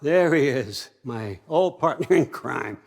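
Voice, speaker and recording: A man's voice: medium pitch, expressive, takes it slowly, gravelly, a little mellow, full character voice. An older American man acting in a video game as a scheming, controlling old father god, wry, folksy and conversational as he manipulates. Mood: taunting.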